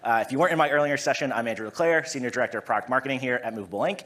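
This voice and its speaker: A man in his thirties from Massachusetts with a Massachusetts accent, giving an energetic, conversational conference presentation on stage and often tagging "right?" onto his points. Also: medium pitch, rapid-fire. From Massachusetts, USA. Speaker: conference speaker. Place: conference stage before an audience.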